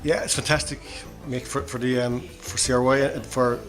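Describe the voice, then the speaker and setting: A man's voice: mid-range voice, level tone, normal speed. An Irish man answering interview questions, often stammering and repeating words. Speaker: community radio development officer. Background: loud music.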